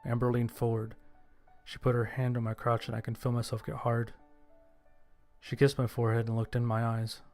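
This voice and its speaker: A man reading a scripted first-person story as narrator, in a measured, understated way. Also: medium pitch, little pitch movement, darkish tone. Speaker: narrator and voice actor. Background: background music.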